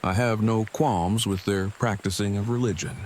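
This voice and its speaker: A man's voice: lowish voice, steady tone, normal speed, darkish tone, slight background noise, natural voice. An actor playing a nerdy, opinionated man in an audio drama, speaking in wordy, stiffly formal sentences. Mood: earnest, pedantic.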